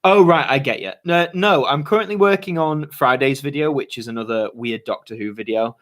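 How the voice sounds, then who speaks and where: Twenties lad, slightly hoarse, relaxed chatty channel host working through viewer questions. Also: male voice, mid-range voice, fairly quick. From Yorkshire, England. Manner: chatty, laid-back, lots of fillers and slang. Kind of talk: casual livestream chat, reading viewer questions.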